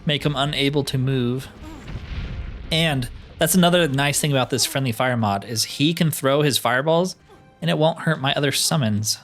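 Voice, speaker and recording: A man's voice: mid-range voice, moderate pace, fairly bright tone. Male gaming host, mid-range voice, wry and casual, thinking aloud over music. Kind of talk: casual solo video game playthrough commentary. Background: game music.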